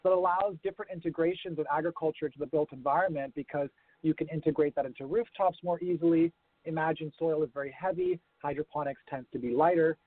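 A man's voice: a little high, fairly even intonation, fast, no echo. Man explaining over a tinny phone line. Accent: American-sounding. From grew up around the world. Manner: thoughtful, explanatory, with fillers. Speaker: guest, urban farming consultant. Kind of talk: remote interview.

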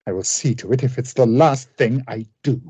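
An actor in a radio play playing an obsessive, idealistic scientist with a husky voice and an intense, grandiose, formal manner. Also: male voice, medium pitch, moderate pace, warm tone, no room sound. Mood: cold, vengeful. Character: obsessive, idealistic male scientist with a vengeful streak.

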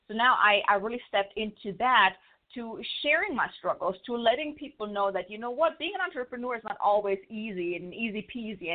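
A woman with a German accent, an author talking fast and stumbling over words as an interview guest. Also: medium pitch. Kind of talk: casual interview chat. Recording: phone-line audio.

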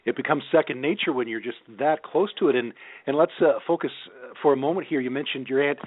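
A man with a mid-pitched voice hosts a radio interview in a conversational style. He is effusive and affirming toward his guest. The sound is very dry and narrow, like a radio broadcast. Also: quick pace, no echo. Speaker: radio show host, interviewer.

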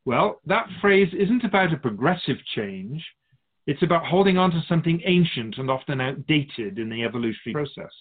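A man playing a wise, patient otherworldly keeper of souls in a radio drama, amused, reassuring and philosophical in measured phrases. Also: medium pitch, narrow, tinny audio, lightly acted. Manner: measured, philosophical, musing, addressing humanity as an outsider.

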